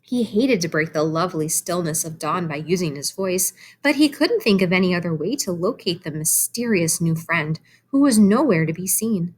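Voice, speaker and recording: A woman reading a children's book aloud like a teacher, animated and explanatory, with asides to young listeners. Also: on the low side, moderate pace, hardly any pauses, husky. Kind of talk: expressive storybook read-aloud with asides to children.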